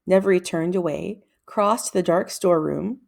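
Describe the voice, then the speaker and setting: A woman's voice: fairly low pitch, moderate pace, gravelly, a little mellow. A woman reading a children's novel aloud as a scripted storybook narrator.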